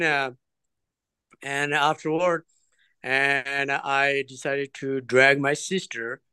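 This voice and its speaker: A man, his voice smooth and resonant. He tells his story earnestly, pausing and circling back as he goes. The sound is close and dry, with no room echo. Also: mid-range voice, fairly bright tone. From Nepal. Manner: earnest, digressive, hesitant phrasing. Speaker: trekking guest. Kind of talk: interview storytelling.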